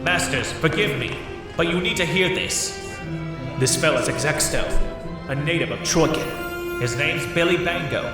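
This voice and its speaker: A man's voice: on the high side, normal speed, close to an everyday voice. A man playing a respectful soldier in a comic dub, reporting and explaining deferentially to his superiors. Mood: urgent report. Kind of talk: dramatic acting in comic dub. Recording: echoey hall, distant, music behind.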